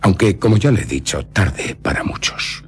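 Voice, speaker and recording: An actor playing a solemn baron and vampire hunter in a radio drama, with a Castilian Spanish accent. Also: male voice, low-pitched, animated, normal speed, slight background noise. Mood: grave. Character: grave baron, vampire hunter descended from a nobleman.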